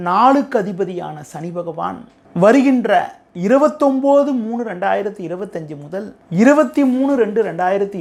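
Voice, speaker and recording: A man with a Tamil Nadu accent presenting an astrology forecast to viewers in a formal, measured, explanatory way. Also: high-pitched.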